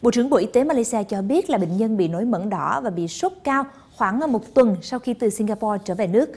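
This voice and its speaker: A young woman reads the news from a studio with a polished, formal delivery. Her voice sits a little high for a woman. The sound is clean and close. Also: animated, brisk, a little mellow, husky. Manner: polished, formal address to viewers. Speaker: news anchor. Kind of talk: news presenting.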